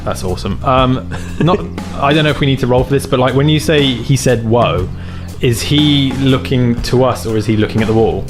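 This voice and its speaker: A man's voice: mid-range voice, moderate pace. A man talking casually as a player in a tabletop role-playing game. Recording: music playing under the voice.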